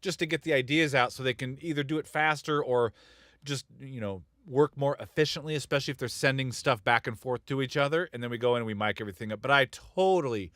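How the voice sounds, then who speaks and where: A man's voice: mid-range voice, fairly bright tone, no echo. A man talking shop as a music producer in a casual studio chat, blunt and rambling, with frequent chuckles.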